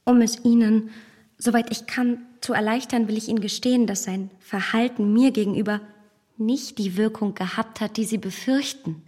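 An actress playing a self-possessed, impatient young gentlewoman in a period radio drama, polite, articulate and insistent. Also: a little high, steady tone, normal speed, big-room sound, close to an everyday voice. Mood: earnest, composed.

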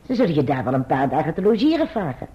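An actress playing a brisk, practical older aunt in a radio drama, direct and matter-of-fact. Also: female voice, deep voice, sing-song, dark tone. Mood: brisk concern. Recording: muffled, dull old radio drama recording.

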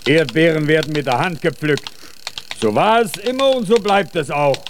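A man's voice: a little high, expressive, moderate pace, slight background noise. An actor playing a bossy, scornful, threatening foreman of fruit pickers in a German children's radio play. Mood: stubborn. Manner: gruff, commanding, threatening.

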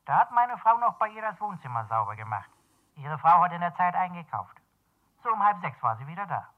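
High male voice, fussy caretaker, halting folksy delivery, tinny telephone line, radio crime drama. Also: very animated. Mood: agitated, dutiful. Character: fussy male building caretaker reporting to an owner. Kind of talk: 1970s German radio crime drama acting. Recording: band-limited telephone line in radio play.

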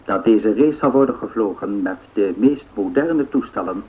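A man's voice: lowish voice, expressive, moderate pace, natural voice. A man acting a formal, solemn 1930s newsreader in a radio drama, making official announcements. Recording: tinny, faintly noisy old radio sound.

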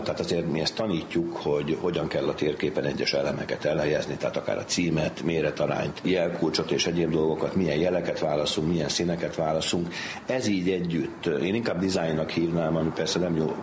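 A man explaining things off the cuff as an interviewed expert. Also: a bass voice. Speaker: interviewed cartography expert and teacher. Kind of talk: unscripted explanatory interview talk. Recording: echoey room with clear background noise.

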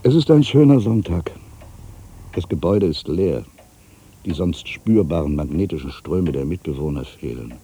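An actor playing an aging, weary writer in a literary monologue, brooding and wry, with a gravelly voice and deliberate, weighted pauses. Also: male voice, low-pitched, lively intonation, dark tone. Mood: resigned, brooding. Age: older.

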